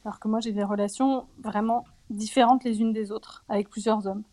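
A woman in her forties speaking candidly about her personal life in an interview, reflective and hesitant, often pausing on fillers. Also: mid-range voice, steady tone, warm tone. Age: 40s.

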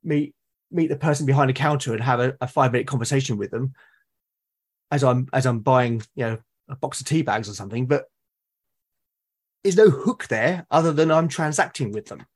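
A British man in casual, reflective conversation, explaining things in a halting, thoughtful way and often rephrasing himself. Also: mid-range voice, slightly rough. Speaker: podcast guest or co-host, tabletop game master. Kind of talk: casual reflective conversation about roleplaying games.

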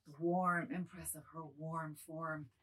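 Woman as a wordy, guilt-ridden man, husky voice. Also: deep voice, little pitch movement, a little slow, a bit bright, rough recording. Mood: overcome, sensual. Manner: wordy, theatrical. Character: self-abasing middle-aged man, guilty, wordy, then defiant. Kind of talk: dramatized reading of a modernist novel.

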